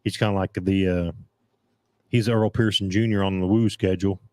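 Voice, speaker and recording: A man's voice: low-pitched, steady tone, normal speed, darkish tone, no echo, studio quality. A man hosting a casual racing talk show, his voice gone a bit scratchy, rambling and restarting often as he reads out comments. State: voice getting scratchy. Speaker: podcast host. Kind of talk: casual chat about dirt track racing.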